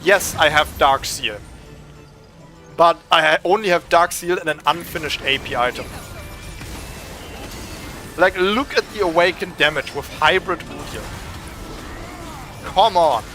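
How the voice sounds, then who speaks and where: A young man with a German accent, giving excitable, swearing, trash-talking gameplay commentary. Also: on the high side, measured pace, pauses often, soft background music. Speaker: gaming streamer.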